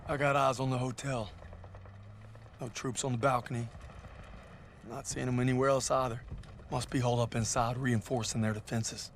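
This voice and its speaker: An actor playing a soldier scouting ahead, reporting calmly and matter-of-factly. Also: male voice, medium pitch, normal speed, long pauses, a little hiss or hum, lightly acted. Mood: wary. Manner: calm, matter-of-fact reporting. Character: male GI scout, steady and wary.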